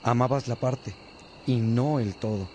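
An actor playing an earnest, attentive disciple of a priest, speaking in a measured way in a religious radio drama. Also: male voice, mid-range voice, a little mellow, a little hiss or hum, close to an everyday voice.

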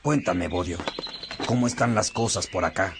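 Actor as Aurelio, low-voiced brotherly bishop. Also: male voice, moderate pace, gravelly, noisy background. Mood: fraternal, concerned. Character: Aurelio, concerned, fraternal visiting male bishop. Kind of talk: radio drama acting.

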